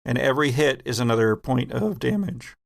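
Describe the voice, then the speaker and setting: A man narrating a tabletop horror game as game master, telling it deliberately with pauses. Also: medium pitch, animated, creaky.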